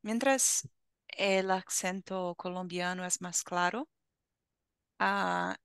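A young woman teaching an online Spanish class, talking slowly and carefully, with encouraging remarks, over a headset on a video call. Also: fairly low pitch, steady tone, creaky, a bit bright, clear, resonant tone, no echo, clean studio sound. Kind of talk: online language lesson chat.

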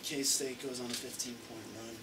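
A man's voice: medium pitch, flat delivery, normal speed. A man, a sports reporter, asking questions at a press conference in a conversational way. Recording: distant mic with room noise.

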